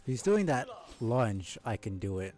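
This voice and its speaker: A man's voice: lowish voice, big pitch swings, moderate pace, over music. A man streaming a video game, thinking aloud in casual, rambling, analytical live commentary.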